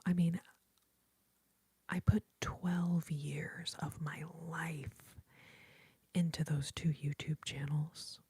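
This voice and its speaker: A woman hosting an ASMR livestream, talking to viewers in a soft, heartfelt whisper into a close microphone. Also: sits low, slightly rough, no echo.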